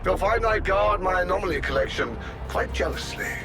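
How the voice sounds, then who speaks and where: An actor playing a pompous, menacing villainous collector in a video game cutscene, speaking in grand formal phrases. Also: male voice, sits high, lively intonation, brisk, music in the background. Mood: imperious.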